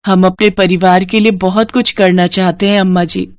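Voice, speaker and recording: A woman's voice: mid-range voice, fairly even intonation, moderate pace, no echo, lightly acted. A woman voicing eager, sweet and respectful young village women in a moral story cartoon. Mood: respectful hopeful. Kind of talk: animated moral story dialogue.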